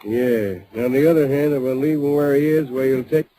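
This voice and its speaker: A man acting out a plainspoken western prospector who narrates in homespun frontier speech. His mid-range voice sounds warm and dark, over a faint hiss. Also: medium pitch, a little fast, natural voice, low-quality recording. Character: homespun old-west gold miner telling the tale. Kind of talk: 1940s radio acting.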